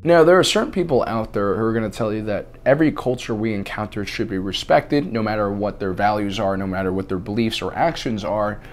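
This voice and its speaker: A man hosting a scripted video essay, with a slightly raspy voice and a deadpan, mock-serious delivery. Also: on the low side, a little fast, few pauses, soft background music. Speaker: host.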